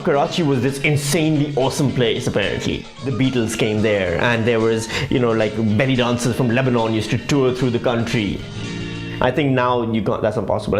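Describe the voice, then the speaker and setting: A man, a stand-up comedian telling his story in a conversational, wry way with dry punchlines. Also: mid-range voice. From Pakistan. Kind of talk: documentary interview and stand-up set.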